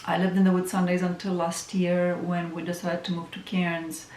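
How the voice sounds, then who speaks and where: A woman with a Romanian accent chats casually about herself, filling pauses with soft ums. Her voice sits low and stays fairly level in pitch. She is recorded in an echoey room with a faint hiss behind her. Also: completely flat delivery. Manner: chatty, many ums, soft and musing. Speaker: tarot reader and astrologer. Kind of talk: casual self-introduction vlog.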